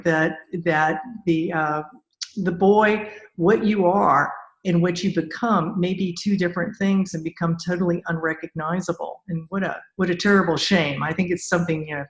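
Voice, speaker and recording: Effusive woman podcast host, echoey sound. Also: on the low side. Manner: effusive, enthusiastic. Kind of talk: conversational podcast interview.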